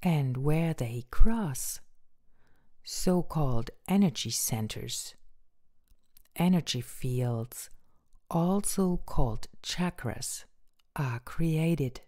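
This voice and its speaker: A woman introducing a guided meditation as host, speaking in a gentle, breathy, unhurried way with long pauses. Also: low-pitched, lively intonation.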